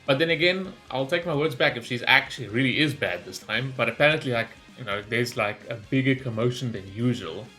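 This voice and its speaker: A man giving casual, excitable and rambling reaction commentary as host of a gaming video. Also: mid-range voice, brisk, hardly any pauses, soft background music.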